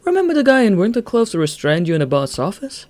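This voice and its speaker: A man's voice: sits high, huge pitch swings, fast, a little mellow, dry recording, pitched above the performer's own voice. A man voicing a playful, morbid young man who jokes about dying and fakes a trembling, fearful tone. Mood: teasing. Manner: light, teasing, feigns trembling fear.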